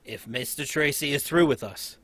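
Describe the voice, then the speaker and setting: Smoothing-over circus boss read by a man; bright, forceful tone. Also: mid-range voice, moderate pace, dry recording, natural voice. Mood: placating. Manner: placating, polite. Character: smooth older male circus manager, placating. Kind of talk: live old-time radio table read.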